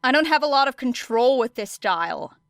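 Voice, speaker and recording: A woman's voice: high voice, expressive, moderate pace, fairly bright tone, no echo, pitched above the performer's own voice. A woman voicing a dry, sardonic teenage girl student in an audiobook, grudging and grumbly in manner. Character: wry, grumbling teenage girl hero student testing gear. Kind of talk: audiobook character acting.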